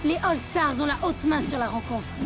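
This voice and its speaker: A woman's voice: high-pitched, moderate pace, over music, natural voice. An actress playing a poised female football commentator in a French cartoon dub. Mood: poised, dramatic.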